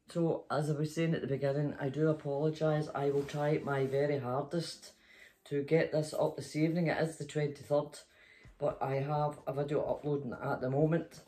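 A woman's voice: sits low, dark tone. A middle-aged or older Scottish woman, a grandmother, chatting playfully and reading a story to children in a bedroom. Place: small bedroom, indoors.

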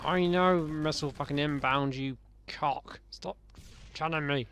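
A young man, slightly croaky, giving sarcastic, sweary commentary while playing a game. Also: fairly high pitch, a little slow, a bit bright, a little hiss or hum. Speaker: let's play gamer. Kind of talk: casual gameplay commentary.

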